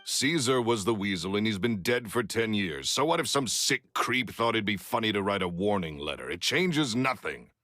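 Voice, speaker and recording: An actor playing a wealthy, short-tempered retired magician in a video game, curt, dismissive and impatient. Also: male voice, on the low side, animated, normal speed, hardly any pauses, sharp tone, dry recording, studio quality, soft background music. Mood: scornful. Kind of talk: English game voice acting.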